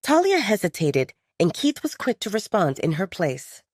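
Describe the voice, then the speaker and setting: A woman's voice: mid-range voice, sing-song, normal speed, darkish tone, no room sound, studio quality. A woman reading scripted romantic fiction as an audiobook narrator, telling the story expressively in the third person.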